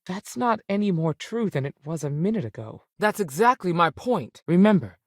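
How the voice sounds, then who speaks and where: A woman's voice: on the low side, very animated, moderate pace, no room sound, studio quality. A woman playing a seasoned, firm but fair space ranger in a video game, plainspoken and authoritative, with folksy turns of phrase. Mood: firm, measured. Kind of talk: video game character dialogue.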